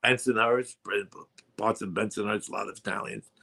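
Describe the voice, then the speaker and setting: A man's voice: fairly low pitch, fairly even intonation, moderate pace, gravelly, sharp tone. A man in his sixties with a New York accent, hosting a radio chat show in a rambling, chatty way. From Brooklyn, New York. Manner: rambling, chatty, many fillers. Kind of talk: casual chat.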